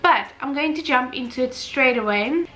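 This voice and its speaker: A woman's voice: sits high, expressive, normal speed, a bit bright. Chatty young South African woman. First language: Afrikaans. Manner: chatty, rambling, many fillers and restarts. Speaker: vlogger. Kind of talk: casual Q&A chat.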